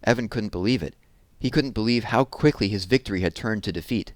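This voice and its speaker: A man narrating a children's chapter book expressively, leaning deliberately on key words for drama. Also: on the low side, no echo. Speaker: read-aloud narrator.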